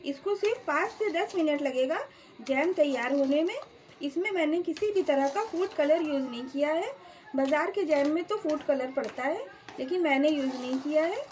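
A woman's voice: high-pitched, lively intonation, normal speed, a little mellow, slight background noise. A woman hosting a cooking channel, explaining each step of a home recipe plainly and calling her viewers friends. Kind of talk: step-by-step cooking demonstration voice-over. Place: home kitchen.

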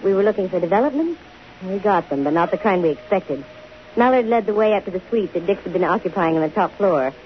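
An actress playing a dry, wisecracking, self-possessed young private detective in a 1950s radio drama. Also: female voice, medium pitch, expressive, fast, lightly acted. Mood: cool narrating. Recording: hissy, muffled 1950 radio broadcast.

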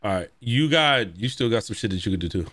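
A man's voice: fairly low pitch, expressive, fast, a bit bright, a little hiss or hum. A man chatting casually while playing a video game with a friend, hyped up and full of slang and swearing. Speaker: gamer. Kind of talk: casual co-op gameplay chat.

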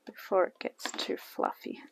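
A middle-aged woman, chatting casually while she cooks in her home kitchen, often trailing off into muttering. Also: a little slow. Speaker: home cooking channel host. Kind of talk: casual cooking tutorial. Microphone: camera on the counter. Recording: noisy home kitchen recording.

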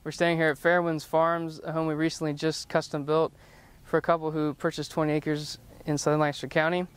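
Man in his thirties with a clear voice. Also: on the high side, little pitch movement, brisk. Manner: friendly, explanatory, with occasional fillers and restarts. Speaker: home designer giving a house tour. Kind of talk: presenting to camera, semi-scripted tour. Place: outdoors in front of a house, then indoors in rooms. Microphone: lapel mic.

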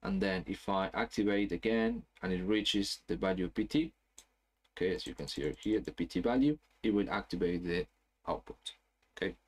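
Low-voiced man explaining software, chatty. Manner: casual, explanatory, frequent "okay?" checks. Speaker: software trainer. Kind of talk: screen-share tutorial.

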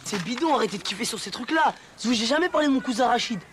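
Fast, high-pitched young banlieue guy. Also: expressive, background noise. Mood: boastful banter. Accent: French banlieue. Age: young adult. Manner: slangy, insistent. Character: cocky, excitable young man from a housing estate. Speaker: film actor. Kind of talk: naturalistic film dialogue in street slang.

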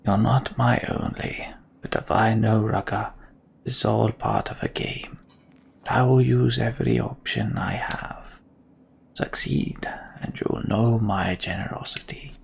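A man voicing a weary, solemn old king in a video game, speaking slowly and carefully in a breathy half-whisper. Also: fairly low pitch, fairly even intonation, exaggerated voice. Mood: grave, commanding. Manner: slow, careful, hushed. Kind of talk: video game character dialogue.

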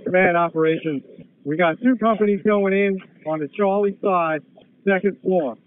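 Tinny noisy two-way radio, high-pitched man, fire officer, urgent procedural traffic. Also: moderate pace. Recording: narrow tinny radio channel with noise and clicks.

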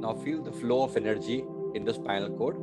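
A man's voice: fairly low pitch, fairly quick, over loud music. A man giving guided breathing meditation instructions, repeating short phrases as he goes.

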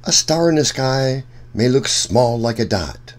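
A man reading a rhyming children's picture book aloud in an expressive, sing-song storyteller's way. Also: medium pitch, a little slow. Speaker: children's story reader, host.

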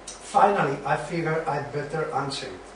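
Distant echoey recording, man as wry male friend, deadpan, slightly high voice. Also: normal speed, lightly acted. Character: wry, joking young male friend, Chandler. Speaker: student in an amateur dramatized reading. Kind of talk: amateur dramatized sitcom reading. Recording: distant, very echoey room, faint noise.

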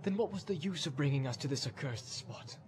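Storybook warrior, acted by a man: proud, uneasy, quick to challenge and complain. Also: a little high, lively intonation, noisy background, lightly acted. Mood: indignant. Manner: indignant, challenging. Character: proud male warrior, doubting, uneasy, indignant.

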